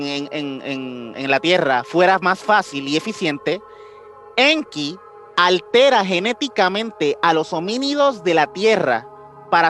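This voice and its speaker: A man's voice: sits high, fairly bright tone. A man with a Latin American Spanish accent co-hosting a podcast, explaining things casually with slang and frequent tag questions. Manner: colloquial slang, seeks agreement with tag questions. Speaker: podcast co-host. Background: music.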